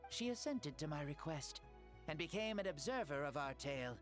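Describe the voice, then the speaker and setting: An actor playing a genial ancient man returned from death, telling his tale gently in game cutscene dialogue. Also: a little high. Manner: gentle, lively, measured storytelling. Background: soft game music.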